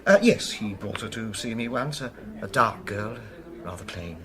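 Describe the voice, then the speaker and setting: An actor playing a genial, obliging restaurant proprietor, courteous and chatty in a radio drama. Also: male voice, fairly low pitch, animated, moderate pace, bright, forceful tone. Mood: fond, chatty. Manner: effusive, courteous, chuckling. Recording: busy crowd chatter behind.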